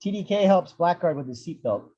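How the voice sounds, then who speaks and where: A man's voice: sits high, lively intonation, fairly quick, dark tone. A man reading screenplay action descriptions with a wry manner, on a dull lo-fi microphone. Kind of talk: scripted screenplay narration.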